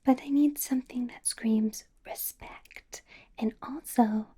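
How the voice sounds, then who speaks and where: A woman's voice: on the high side, steady tone, slow, exaggerated voice. Woman playing a whispering, possessive vampire boss. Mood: possessive, doting. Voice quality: breathy, soft whisper. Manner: coaxing, condescending, controlling sweet talk. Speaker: ASMR roleplay performer. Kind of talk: ASMR roleplay, close whispered personal attention.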